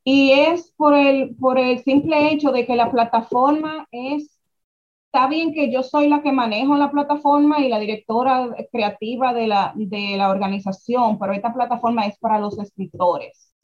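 A young Dominican woman with a Dominican accent, speaking as an interview guest in a lively way, with restarts and rhetorical questions. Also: high voice, moderate pace. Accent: Dominican Spanish. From Dominican Republic. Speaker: guest, founder of a writers' platform. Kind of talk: casual interview conversation. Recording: dull lo-fi sound.

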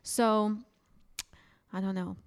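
A woman's voice: mid-range voice, takes it slowly, smooth, dry recording, clean studio sound. A woman from Portugal with a Portuguese accent, a comedian guest chatting animatedly on a podcast. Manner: animated, chatty, with frequent restarts. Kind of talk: casual chat.

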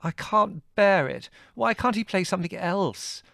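A man's voice: high-pitched, big pitch swings, normal speed, no room sound, studio quality, pitched above the performer's own voice. A man voicing an indignant, whiny young woman in a storybook reading, petulant and emphatic. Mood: wailing.

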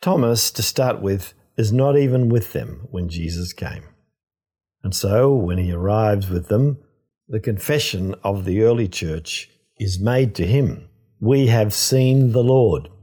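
An older man, a priest, giving a reflective scripture teaching in a measured, explanatory way with emphatic phrasing. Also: on the low side, animated, warm tone, husky.

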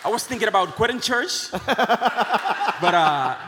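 Puerto Rican pastor with halting English. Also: male voice, high-pitched, animated, big-room sound, a crowd in the background, background noise. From Puerto Rico. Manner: halting, many "uh" fillers, good-humored. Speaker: visiting pastor. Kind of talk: casual onstage interview. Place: church auditorium stage. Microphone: handheld mic.

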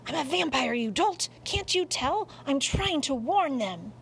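Woman voicing an indignant, melodramatic tomcat, animated. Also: sits high, unhurried, vocal fry, a little hiss or hum, voice pushed up, full character voice. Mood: exasperated. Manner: haughty, exasperated, theatrical. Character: indignant, melodramatic male cat convinced of vampires. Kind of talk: audiobook character voice.

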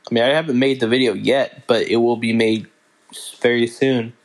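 A young man hosting a casual solo podcast, talking in a halting way with many restarts and stumbles. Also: medium pitch, flat delivery. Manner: halting, restarts and fillers, slow unhurried delivery. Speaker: podcast host.

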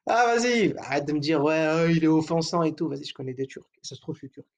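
Gravelly young man, crude joking monologue. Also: on the high side, fast talker. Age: young adult. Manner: joking, crude slang, many fillers. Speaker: podcast host. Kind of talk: casual provocative monologue.

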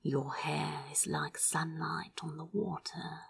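A woman's voice: low-pitched, steady tone, slow, no echo, far from the mic, exaggerated voice. Woman voicing a murmuring, besotted male sorcerer. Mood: adoring. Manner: breathy, murmured, half-whispered. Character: besotted male sorcerer, teasing, tender and poetic lover.

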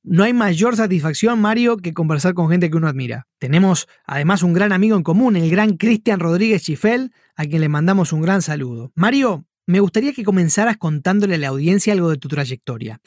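A man's voice: high voice, animated, fairly quick, hardly any pauses, a little mellow, no echo, clean studio sound. A man, an articulate, courteous podcast host introducing and questioning a guest.

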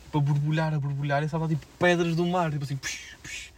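A young man with a European Portuguese accent and a fairly high voice. He talks very fast in casual, unscripted podcast chat, leaning on slang and filler words. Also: fairly even intonation. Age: young adult. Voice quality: slangy, filler-heavy speech. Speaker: podcast co-host.

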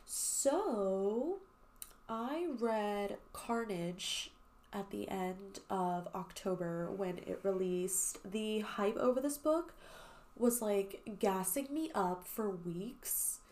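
A woman's voice: medium pitch, drawn-out words, darkish tone. A young woman hosting a podcast, chatting casually with lots of fillers and swearing, her voice a little nasal and congested. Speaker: podcast host. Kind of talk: casual chat.

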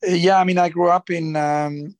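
A man's voice: sits high, level tone, moderate pace, no echo. A man from Switzerland, answering interview questions hesitantly with many fillers and restarts. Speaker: guest. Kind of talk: conversational interview.

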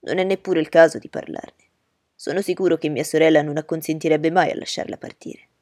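A woman playing an eager young gentleman. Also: low voice, fairly even intonation, fairly quick, no room sound, clean studio sound, close to an everyday voice. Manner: eager, emphatic. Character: cheerful, impulsive young gentleman.

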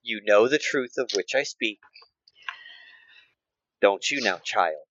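A man voicing a stern old motherly deity who speaks slowly and draws out the word "child.". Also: fairly low pitch, animated, fairly bright tone, no room sound, lo-fi sound, voice pushed down. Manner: slow, commanding, draws out "child".

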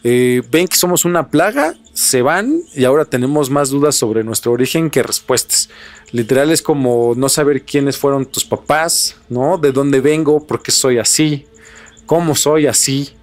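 A man's voice: mid-range voice, unhurried, faint music behind. Mexican male podcast host, speculative and conversational. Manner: speculative, poses rhetorical questions.

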